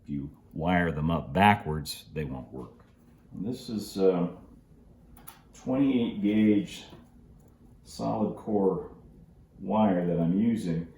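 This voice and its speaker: A middle-aged man with a deep voice talks through a hands-on job in an unhurried, casual way. He pauses as he works. The room rings around his voice, with some noise behind it. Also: a little mellow, roomy sound. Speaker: host of model railroad channel. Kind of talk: casual hands-on tutorial narration. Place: indoor home workshop.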